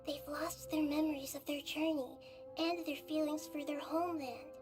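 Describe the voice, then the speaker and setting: A woman voicing a gentle, thoughtful young female game character who speaks softly and consolingly, with game music behind. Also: sits high, fairly even intonation, moderate pace, natural voice. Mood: reflective. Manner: soft, reflective and consoling.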